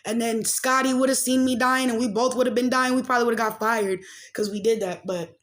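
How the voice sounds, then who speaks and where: A woman's voice: on the high side, steady tone, a little fast, a bit bright. A woman in her late twenties hosting a casual gossip and life-update podcast, rambling along in loose slang peppered with filler words. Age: late 20s. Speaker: podcast host and commentator. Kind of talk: casual gossip commentary and personal chat.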